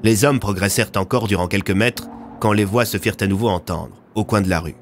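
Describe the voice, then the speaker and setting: Un homme qui raconte une fiction audio, en narration dramatique écrite. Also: male voice, fairly low pitch, fairly quick, a little hiss or hum. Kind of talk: scripted dramatic story narration.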